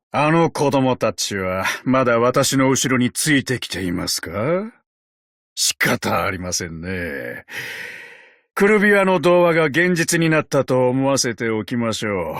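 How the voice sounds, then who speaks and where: A man voicing a courteous, wry, ancient undead detective in a tin exoskeleton for a game, with polite, gentlemanly phrasing. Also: mid-range voice, no echo, studio quality. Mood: resigned. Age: older. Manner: polite, unhurried, sardonic gentlemanly speech. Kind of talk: Japanese game character voice lines.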